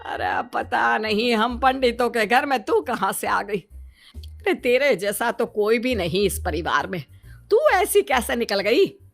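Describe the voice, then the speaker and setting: A woman's voice: on the high side, very animated, measured pace, vocal fry, soft background music, exaggerated voice. A woman voicing a nagging, exasperated middle-aged mother in a dramatized moral story. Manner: scolding, nagging.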